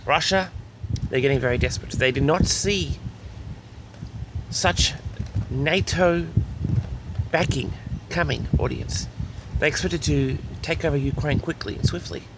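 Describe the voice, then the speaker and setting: A man's voice: a little high, measured pace, background noise. Self-important man lecturing his audience. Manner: self-important, grandiose, keeps addressing the audience. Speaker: host of a comedic audio log. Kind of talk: mock-serious monologue to an audience.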